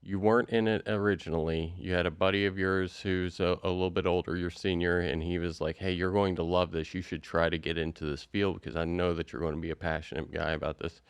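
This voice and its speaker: Low smooth-voiced male podcast host. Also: little pitch movement, fast talker, few pauses, no echo. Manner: chatty, rambling with many fillers. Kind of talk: casual podcast interview.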